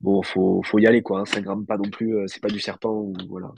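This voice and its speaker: Un homme légèrement enrhumé qui explique l'élevage de cloportes en direct, sur un ton décontracté, avec beaucoup d'hésitations et de mots cherchés. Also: male voice, on the low side, little pitch movement, fairly quick, creaky, darkish tone, slight background noise. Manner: hesitant, many fillers, searches for words. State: slightly congested from a cold. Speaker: guest isopod breeder. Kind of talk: casual livestream explanation.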